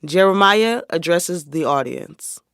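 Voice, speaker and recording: A man's voice: high voice, expressive, takes it slowly, fairly bright tone, clean tone, no room sound, studio quality. A man narrating stage directions for a play in a scripted reading style.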